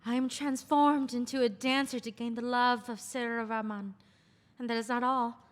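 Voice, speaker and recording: A woman's voice: on the high side, fairly even intonation, moderate pace, lightly acted. A woman reading the part of a powerful, devoted royal dancer in a lyrical stage play. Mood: proud, alluring. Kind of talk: play table read with lyrical monologues. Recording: long reverberant hall echo.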